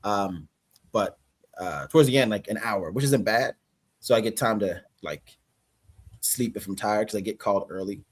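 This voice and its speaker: A man with a Canadian accent, a guest actor chatting casually on a livestream, relaxed and rambling, laughing often. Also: on the low side, normal speed.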